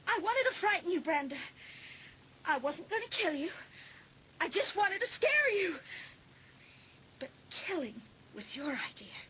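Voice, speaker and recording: Shrill, unhinged teenage girl. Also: very animated, unhurried, long pauses, bright, forceful tone, exaggerated voice. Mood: bitter, wounded. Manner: melodramatic, swinging from hissed whispers to shrieks. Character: unhinged, bitterly resentful teenage girl, vengeful and hysterical. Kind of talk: dramatised audiobook acting.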